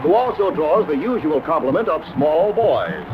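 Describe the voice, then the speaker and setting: Animated American 1950s newsreel announcer, male. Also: a little high, normal speed. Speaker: newsreel narrator. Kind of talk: 1950s newsreel scripted narration. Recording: dull old newsreel soundtrack, prominent music. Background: newsreel music.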